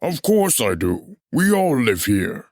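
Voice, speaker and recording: A man's voice: medium pitch, very animated, slow, no echo, studio quality. A man playing a brash, smugly patriotic character in a theatrical puppet show, with a blustering, cocksure manner. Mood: dismissive, smug. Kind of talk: theatrical puppet musical acting.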